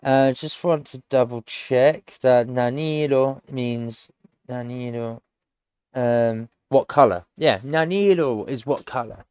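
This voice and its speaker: A man's voice: mid-range voice, takes it slowly, darkish tone, clear, resonant tone, no echo, lo-fi sound. A British man in his thirties, teaching himself a language on stream, thinking aloud in a rambling, hesitant way. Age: 30s. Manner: rambling, hesitant, full of restarts. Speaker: self-taught language learner streaming. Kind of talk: casual thinking-aloud commentary.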